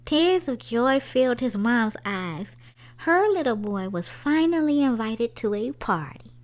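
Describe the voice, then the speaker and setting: A woman reading a children's picture book aloud in an expressive storytime style, putting questions to young listeners. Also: on the high side, unhurried.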